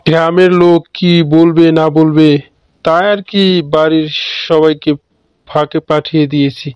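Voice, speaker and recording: A man acts out a worried household servant in a cartoon ghost tale. The voice is high and clean, humbly explaining, in a muffled recording with music underneath. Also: flat delivery, normal speed, a little mellow, smooth, no echo, natural voice. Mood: uneasy, deferential. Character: worried deferential male household servant explaining a strange death.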